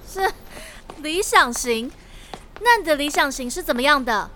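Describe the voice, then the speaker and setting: A woman playing a squeaky, teasing best friend. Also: a little slow, full character voice. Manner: teasing, giggly, lively. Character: teasing, playful overworked young female friend. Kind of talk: amateur audio drama acting. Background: background music.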